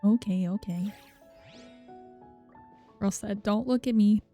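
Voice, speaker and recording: A woman with a creaky voice giving casual playthrough commentary and reading game dialogue aloud as a streamer. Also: medium pitch, slow pace, warm tone, faint music behind.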